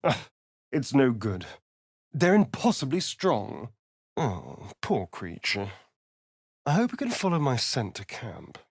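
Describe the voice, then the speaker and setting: An actor voicing a sly, vain vampire rogue for a video game, with an English accent and an arch, theatrical, drawling delivery. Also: male voice, mid-range voice, wildly swooping pitch, takes it slowly, pauses often, slightly rough, full character voice. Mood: measured, wary.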